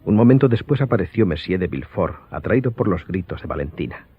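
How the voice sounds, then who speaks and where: A man giving scripted, dramatic audiobook narration in a husky voice. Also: sits low, expressive, fast, faint music behind. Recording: dull lo-fi sound.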